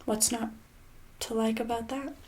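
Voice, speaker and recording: A woman playing a smug, sinister boss in a soft-spoken ASMR roleplay, casual and condescending, with a breathy voice that often slips into a whisper. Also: fairly high pitch, level tone, slight background noise, natural voice. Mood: smugly sweet. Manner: casual, condescending, falsely sweet, hesitant pauses. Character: smug, sinister female boss sweetly holding an employee hostage.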